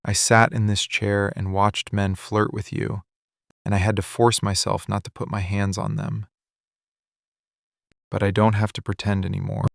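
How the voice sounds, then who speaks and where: A man acting a possessive, menacing mafia boss in love, speaking slowly, deliberately and intimately in an ASMR roleplay. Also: fairly low pitch, little pitch movement, creaky, no room sound, clean studio sound. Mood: pained longing. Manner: slow, deliberate, intense, hushed confiding delivery. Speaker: ASMR voice actor. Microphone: close studio microphone, dry.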